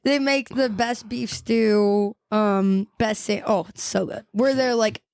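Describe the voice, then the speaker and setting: A woman's voice: on the high side, steady tone, slow pace, darkish tone, dry recording, studio quality. A woman, a chatty, giggly guest who trails off and restarts mid-thought in casual podcast talk. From Texas. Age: 20s. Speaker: guest singer-songwriter.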